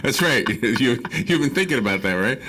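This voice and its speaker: A man's voice: mid-range voice, expressive, normal speed, sharp tone, slight background noise, rough recording. A jovial male radio host who laughs easily while chatting casually. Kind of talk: casual chat. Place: radio studio.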